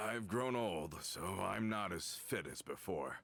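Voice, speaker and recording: An actor voicing an aging, loyal henchman in a video game, hearty, respectful and nostalgic in an unhurried storytelling manner. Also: low voice, animated, gravelly, a bit bright, heavily acted.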